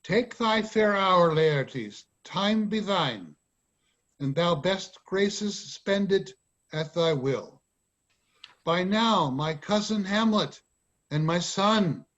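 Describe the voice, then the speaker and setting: High-voiced, imperious Shakespearean king played by a man. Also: lively intonation, a bit bright, full character voice. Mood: courtly, probing. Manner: measured, declamatory, formal. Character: smooth, imperious middle-aged king lecturing his stepson. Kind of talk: dramatic reading of Shakespearean verse. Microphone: video call. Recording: dull lo-fi video call audio.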